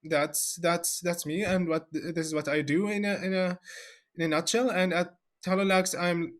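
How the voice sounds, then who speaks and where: A man from Syria, a guest expert in a video interview, talking in a halting way with many fillers and repeated words. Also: sits high, steady tone.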